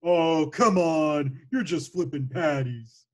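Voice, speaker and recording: A dim-witted, carefree cartoon starfish guy, acted by a man, with a high voice and slow delivery. He sounds childish and simple, happy to tease. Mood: blithe. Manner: slow, simple-minded, taunting. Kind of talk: cartoon script table reading.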